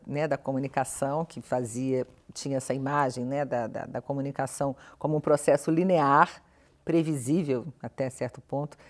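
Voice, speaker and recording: Low-pitched Brazilian woman, academic guest. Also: darkish tone. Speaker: interview guest, professor and researcher. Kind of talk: academic interview talk, spontaneous explanation. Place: television studio interview.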